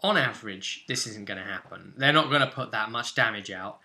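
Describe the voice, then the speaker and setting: Bright, forceful British man as game master. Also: lowish voice, fairly quick, vocal fry. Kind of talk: casual recap of a tabletop role-play session.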